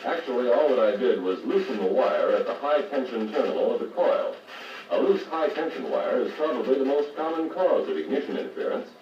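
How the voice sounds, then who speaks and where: An American actor playing a patient car radio service instructor, explaining methodically in a 1950s training filmstrip style. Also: male voice, medium pitch, lively intonation, brisk, natural voice. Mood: patient, instructive. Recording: muffled, noisy, echoey 1950 record playback, distant mic.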